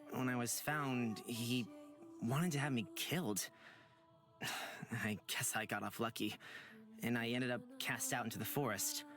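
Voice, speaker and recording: A man's voice: medium pitch, steady tone, measured pace, pauses often, fairly bright tone, lightly acted. A man playing an earnest, uneasy young outcast in a visual novel game, speaking hesitantly. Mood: earnest, sombre. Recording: clear music behind the voice.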